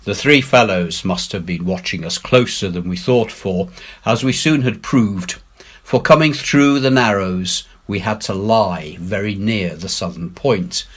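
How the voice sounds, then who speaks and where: Husky low-voiced man narrating a story. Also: measured pace. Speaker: head teacher reading aloud.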